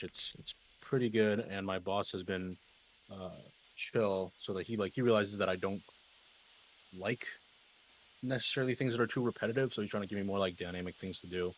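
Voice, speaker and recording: A man's voice: lowish voice, rough recording. A man in his twenties chatting casually with friends, loose and slangy, with some swearing. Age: 20s. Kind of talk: casual chat among friends.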